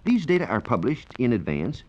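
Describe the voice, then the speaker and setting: A man explaining science in a measured, precise way as a reporter on a 1940s radio program. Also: medium pitch, wildly swooping pitch. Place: radio studio. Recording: dull, noisy 1940s radio recording.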